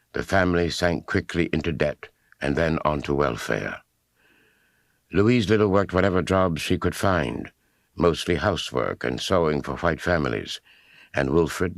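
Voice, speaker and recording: Very deep-voiced male narrator. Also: no echo, clean studio sound. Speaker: audiobook narrator. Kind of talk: third-person biographical documentary narration, scripted.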